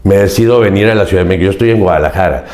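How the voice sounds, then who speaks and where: Older Mexican man, low, roomy sound. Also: rapid-fire, a little mellow. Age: 70s. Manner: earnest, emphatic, repeats key phrases. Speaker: podcast guest. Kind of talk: reflective interview storytelling.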